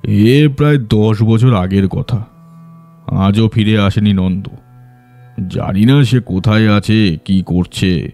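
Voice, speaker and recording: A remorseful landlord and elder brother in an audiobook drama. His voice is low and mellow, and he confides slowly, in a measured, sorrowful way, with music underneath. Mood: regretful. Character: troubled zamindar, elder brother, recounting remorsefully.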